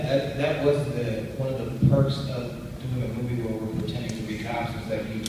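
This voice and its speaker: A man, an actor at a convention press panel, telling loose, rambling stories. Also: mid-range voice. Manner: rambling, loose storytelling with fillers. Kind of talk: casual panel answers. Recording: echoey hall, far from mic, noisy.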